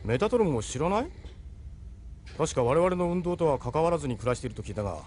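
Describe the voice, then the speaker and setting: A man's voice: fairly high pitch, sing-song, fast, a little mellow, a little hiss or hum. A man playing a confident, commanding yet courteous ship's captain in an audio drama. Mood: brisk, gruff. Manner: gruff familiar orders, polite to guests. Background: faint music.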